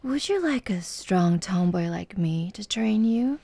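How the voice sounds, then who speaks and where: Actress for flirty, self-assured tomboy MMA trainer, ASMR roleplay. Lowish-energy breathy delivery, unhurried, gravelly fry, playful seduction. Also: female voice, expressive, mellow, dry recording. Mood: teasing, seductive. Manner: slow, teasing, giggly, encouraging. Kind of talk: ASMR roleplay, personal address to listener.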